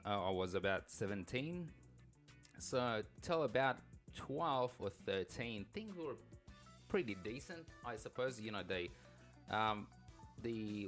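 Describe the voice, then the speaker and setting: A man in his thirties from Afghanistan, a podcast co-host telling his life story in a halting, nervous way with many restarts. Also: lowish voice, fairly bright tone. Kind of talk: casual storytelling chat.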